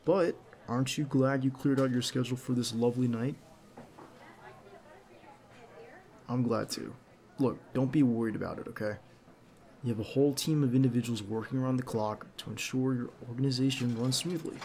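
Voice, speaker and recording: Creaky-voiced, hesitant bodyguard on a date acted by a man. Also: medium pitch, steady tone, brisk, natural voice. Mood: relaxed, teasing. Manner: soft, hesitant, gently teasing. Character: nervous, devoted young male bodyguard on a date. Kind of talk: ASMR roleplay acting, intimate one-sided dialogue.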